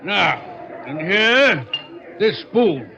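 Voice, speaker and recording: An actor playing a self-assured, tipsy tavern armchair strategist, demonstrative and pleased with himself, in 1950s radio drama style. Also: male voice, sits high, sing-song, extremely slow, creaky, heavily acted. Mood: self-satisfied. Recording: muffled old radio recording, tavern crowd.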